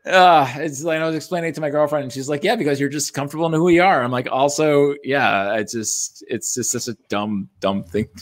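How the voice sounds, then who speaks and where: A man in his thirties telling casual anecdotes in a self-deprecating, halting way with some swearing. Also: on the high side. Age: 30s. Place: indoors on a group video call.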